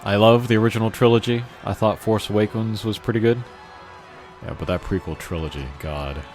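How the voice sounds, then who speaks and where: A man's voice: lowish voice, a little fast, a little mellow. A man casually commentating as he plays a video game, rambling and opinionated in an informal way. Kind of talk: casual let's-play commentary. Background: faint game music.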